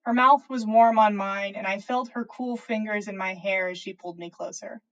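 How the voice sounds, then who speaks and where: A woman reading fan fiction aloud as a podcast host, in an expressive storytelling style. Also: mid-range voice, normal speed, lo-fi sound.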